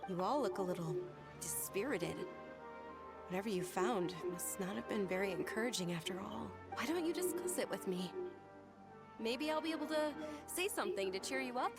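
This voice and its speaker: A woman playing a gentle, refined young noblewoman in a video game, polite and articulate. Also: medium pitch, expressive, normal speed, natural voice. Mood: curious, kind. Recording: game audio with music behind.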